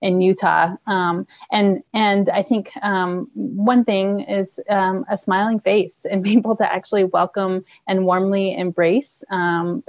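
Gravelly woman, agency staffer, hesitant and full of fillers. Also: medium pitch, darkish tone, dry recording, lo-fi sound. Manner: hesitant, many ums and restarts. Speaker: refugee resettlement staff member. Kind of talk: news interview.

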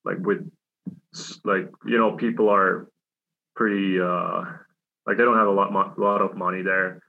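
A man's voice: low-pitched, fairly even intonation, a little slow, gravelly. A young man from Switzerland, a hockey player, answering interview questions casually with many fillers and restarts. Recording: dull lo-fi sound.

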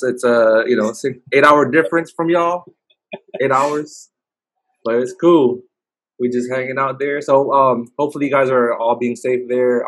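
A slightly husky man hosts a casual podcast chat in a relaxed, slangy way, padding his questions with "you know" and "like." The recording is close and dry, with no room sound. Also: mid-range voice, no room sound, rough recording. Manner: laid-back, slangy, many fillers. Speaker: podcast host.

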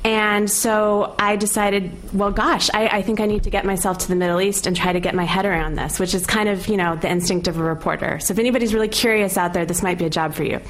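A woman's voice: mid-range voice, fairly even intonation, fast, hardly any pauses, slight background noise. An American woman from California in her thirties, talking casually and candidly on a panel about her career, with frequent "you know" and light laughs. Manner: chatty and candid, laughs often, many fillers. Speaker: panel speaker, opinions editor. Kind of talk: informal panel talk.

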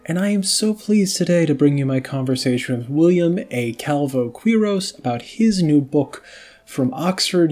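Man, young adult, podcast host, casual chatty talk, warm dark voice, faint guitar music. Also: fairly high pitch. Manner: chatty, many fillers like "you know" and "like".

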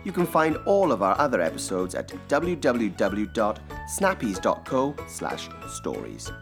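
A woman's voice: rumbling low, sing-song, unhurried. A woman hosting a children's story podcast, reading scripted introductions and sign-offs. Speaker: podcast host. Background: music.

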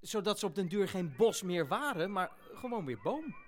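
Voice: medium pitch, sing-song, normal speed, natural voice. An adult actor playing a questioning, stubborn child who tells the tale expressively in a family audio play. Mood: quiet narrating.

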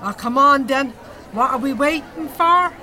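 An actress in an audio drama playing a blunt, wary working-class bingo checker with a Dublin accent. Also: female voice, high-pitched, unhurried, pushed and bright, some chatter behind, a little hiss or hum. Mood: wary, haggling. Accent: Dublin Irish. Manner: blunt, haggling.

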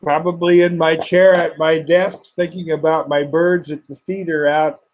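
A man, a poet and guest, speaking slowly and haltingly with many fillers in an interview. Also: fairly high pitch, flat delivery, dull audio. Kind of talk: interview and poetry reading.

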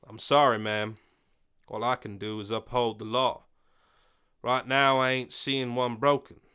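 Weary, even-tempered sheriff with a bright voice, read by a man. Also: medium pitch, clean tone. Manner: measured, apologetic. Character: weary middle-aged male Western sheriff.

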